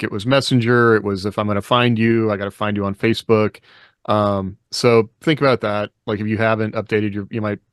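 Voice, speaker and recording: Fast-talking man with a lowish voice. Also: dry recording, clean studio sound. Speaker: author and podcast co-host. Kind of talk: casual conversational chat.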